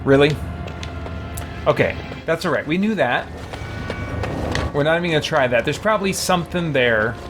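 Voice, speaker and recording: A man's voice: fairly high pitch, lively intonation, normal speed, long pauses. A man giving casual live commentary as he plays a video game, thinking aloud in a wry way. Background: video game music.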